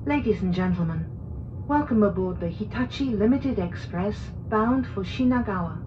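A woman reading a formal, scripted train announcement in a measured, carefully enunciated way. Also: medium pitch, animated. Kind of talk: recorded scripted English onboard announcement. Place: played over speakers inside a train car. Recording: muffled train PA, clear cabin noise.

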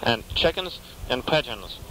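A man making a prank phone call, rambling and halting in a mock-naive way. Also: medium pitch, sing-song, noisy background. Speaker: prank caller.

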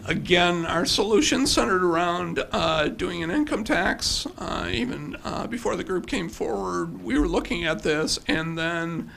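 An older man giving a formal presentation as a city official at a podium microphone, with halting, hesitant phrasing. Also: high voice, animated, creaky, a bit bright, slight background noise, rough recording. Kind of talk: formal budget presentation with slides. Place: indoor public council chamber with seated audience. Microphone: podium gooseneck microphone.

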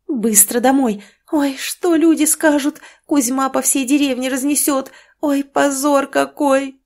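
A woman voicing a frantic village mother who scolds loudly. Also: fairly even intonation, normal speed, close to an everyday voice. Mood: frantic scolding. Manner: shrill scolding, wailing.

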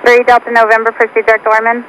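Brisk female air traffic controller on radio. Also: a little high, completely flat delivery, a bit bright, rough recording. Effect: aviation radio. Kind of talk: clipped procedural radio instructions.

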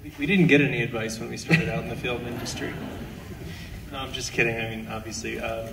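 A man in his thirties, a screenwriter, giving an earnest, halting answer at a stage Q&A. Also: medium pitch, steady tone, gravelly. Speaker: screenwriter at a premiere Q&A. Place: large theatre stage. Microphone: handheld stage mic, picked up from afar. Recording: echoey hall, distant camera, background noise.

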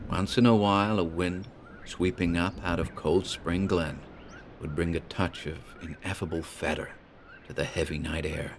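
A man narrating a horror story in a slow, measured, ominous way. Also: low voice, fairly even intonation, a little hiss or hum.

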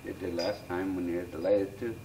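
A man's voice: low voice, little pitch movement, a little fast, dark tone. A man chatting casually while working, in a brief, matter-of-fact way. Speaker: helper in the shop. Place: open shop doorway beside a trailer. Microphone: far camera. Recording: distant, very noisy workshop recording.

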